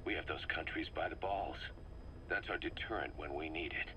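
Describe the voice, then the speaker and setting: A deep, hard-bitten mercenary commander. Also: male voice, moderate pace, pushed and bright, slight background noise. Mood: resolute. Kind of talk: dramatic video game acting, expository briefing.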